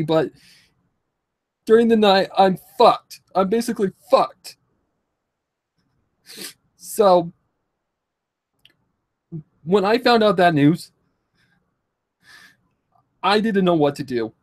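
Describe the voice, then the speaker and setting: A man of twenty-two speaking emotionally to his followers in a halting, repetitive, pleading way, distressed and close to tears, with slightly affected speech. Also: high voice, darkish tone. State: distressed, tearful. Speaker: vlogger. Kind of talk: emotional confessional talk to followers.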